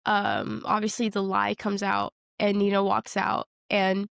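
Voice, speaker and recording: Creaky-voiced young woman chatting candidly. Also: medium pitch, very animated, measured pace, fairly bright tone, no echo, studio quality. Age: young adult. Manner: candid, informal, youthful slang. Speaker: podcast co-host. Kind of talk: casual film discussion chat.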